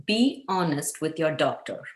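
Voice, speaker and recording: A woman's voice: low-pitched, lively intonation, unhurried. A woman in an amateur table read playing a firm, earnest doctor wife who scolds her husband about his health. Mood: firm, chiding. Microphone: video call. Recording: roomy, echoing video-call audio.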